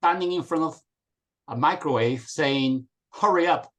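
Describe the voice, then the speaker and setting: A man's voice: a little high, unhurried, a bit bright. A middle-aged man from Spain, a guest telling his story on a video call. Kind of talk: casual video call chat.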